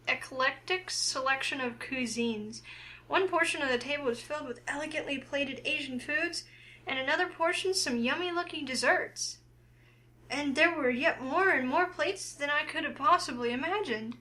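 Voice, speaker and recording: A woman's voice: high-pitched, normal speed, sharp tone, rough recording. A woman reading a visual novel aloud, narrating and acting out the heroine's lines. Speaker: game player and narrator.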